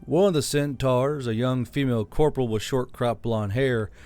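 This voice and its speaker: A man's voice: mid-range voice, moderate pace, a little mellow. A man reading a scripted fantasy audiobook as its narrator. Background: background music.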